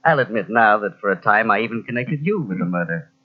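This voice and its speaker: An actor playing a wily, patient police inspector, speaking with sly, deliberate casualness in old-time radio drama style. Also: male voice, lowish voice, lively intonation. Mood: calculating. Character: wily, patient male police inspector, cat-and-mouse interrogator. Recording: dull lo-fi old radio cassette transfer.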